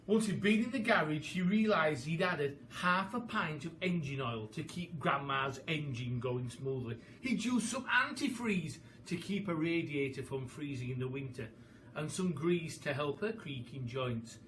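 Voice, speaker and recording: A man tells a children's story with dramatic, emphatic expression. His voice is high and bright and he takes his time over the words. There is faint noise in the background. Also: expressive, a little slow, distant mic. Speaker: storyteller, primary school teacher.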